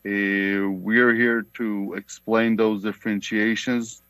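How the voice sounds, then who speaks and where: A man with a heavy Israeli accent giving formal testimony over a video call, explaining things in a halting way. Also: fairly low pitch, level tone, a bit bright, smooth, no echo. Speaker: company CEO giving testimony. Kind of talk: formal testimony at a remote hearing.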